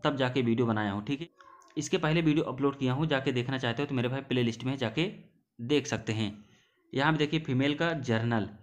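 A man giving an informal, repetitive explainer as an exam-preparation presenter, addressing listeners as brothers. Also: mid-range voice. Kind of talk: informal explainer over on-screen notes.

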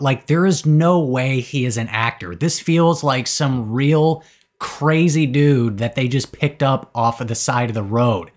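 Enthusiastic bearded man reviewing a film. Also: mid-range voice. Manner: enthusiastic, gesturing, many fillers and restarts. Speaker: horror movie reviewer. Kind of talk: casual spoken review to camera. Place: small indoor room with shelves of collectibles. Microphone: desk studio mic close in front.

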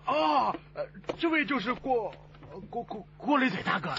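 Nervous high-pitched stuttering informant. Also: male voice, sing-song, measured pace, sharp tone, dull audio, slight background noise, full character voice. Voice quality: heavy stutter. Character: nervous stuttering male informant, bumbling and eager. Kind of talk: radio crime drama acting.

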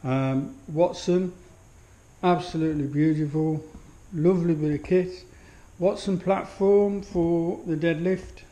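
A man giving a relaxed walkthrough of his home gym, admiring each piece of kit with many pauses and fillers, recorded on a handheld action camera in a small indoor room. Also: a little high. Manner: unhurried, full of fillers, admiring. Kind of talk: casual walkthrough commentary. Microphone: action camera held while walking.

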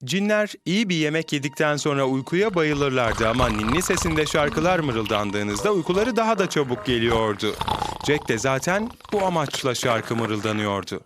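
A man narrating a cartoon story in an even storytelling tone. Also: mid-range voice, moderate pace, background noise. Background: music.

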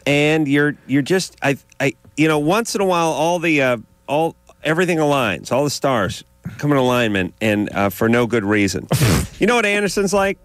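A middle-aged man hosts a call-in radio show from a dry studio, riffing sarcastically. His pitch swings widely as he builds comic tangents. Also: expressive, normal speed, fairly bright tone, dry recording. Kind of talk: casual radio banter with callers. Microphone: studio microphone.

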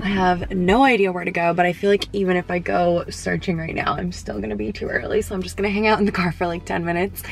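A woman's voice: medium pitch, gravelly. A young woman from Canada chatting casually to a dashboard camera in her parked car, upbeat and full of "like". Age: young adult. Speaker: vlogger. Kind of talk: casual vlog chat to camera.

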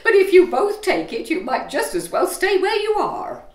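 A woman's voice: very high voice, very animated, moderate pace, echoey room. A woman playing a flustered, placating Victorian boarding-house landlady in a stagey comic audio play. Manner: placating, coaxing.

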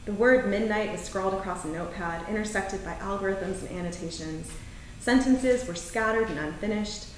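A woman's voice: mid-range voice, moderate pace. Echoey, distant woman reading on stage. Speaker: poet reading own work at a live event. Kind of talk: poetry and prose reading with short spoken introductions. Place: theater stage, indoors. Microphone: distant camera microphone. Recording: very echoey theater, distant, faint noise.

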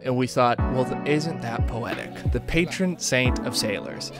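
Young man, sailing vlog voice-over, reflective and matter-of-fact, mid-pitched, loud music underneath. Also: a little slow. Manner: thoughtful, musing, matter-of-fact. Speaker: sailing vlogger, skipper.

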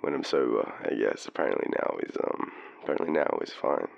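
A man's voice: extremely low pitch, very animated, no echo, dull audio, exaggerated voice. An Australian man playing a tipsy, shy, lovestruck young guy phoning his crush in an intimate audio roleplay, hesitant and rambling. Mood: tender, nervous. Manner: hesitant, rambling, halting, breathy chuckles. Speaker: voice actor in boyfriend roleplay. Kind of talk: intimate audio roleplay, one-sided phone call.

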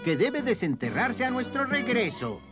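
An old elf village leader in a cartoon, authoritative and calm. The delivery has lively swings of pitch, with music in the background. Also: male voice, moderate pace. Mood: firm. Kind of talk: animated cartoon dub acting.

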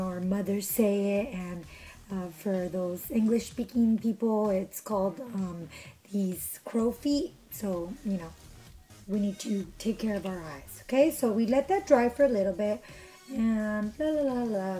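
A young woman, a little hoarse from being under the weather, chatting bubbly through a makeup tutorial in her bedroom, filmed close by her camera. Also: mid-range voice, measured pace, warm tone, faint music behind, poor audio. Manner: chatty, bubbly, lots of fillers. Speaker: beauty vlogger. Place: bedroom with couch, indoors. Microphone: camera in front at arm's length.

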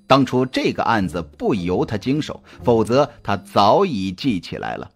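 A man reading a scripted suspense audiobook in a dramatic, deliberate, expressive storytelling manner. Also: on the low side, slightly rough. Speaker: audiobook narrator. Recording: music under the voice. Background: background music.

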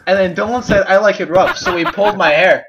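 A man with a high voice makes up a comic story for his friends over game chat. He stumbles along, giggly, repeating his phrases, with clear noise and clicks on the line. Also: fast talker, dull audio. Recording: noisy gaming voice chat with clicks.

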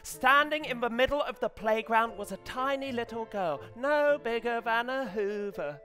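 An English man in his thirties giving a live comedy reading as a writer, in a hesitant, earnest, deadpan manner. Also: very high voice, normal speed, faint music behind. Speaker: comedian reading as an amateur novelist character. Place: small stage set indoors, before an audience. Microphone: headset.

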